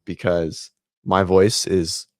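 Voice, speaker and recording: Male host, deep and raspy, loose rambling delivery full of fillers, dry home-office mic. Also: level tone, takes it slowly, dry recording. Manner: rambling, many ums and restarts. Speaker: host of a fitness livestream show. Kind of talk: casual livestream chat. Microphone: set-up studio mic.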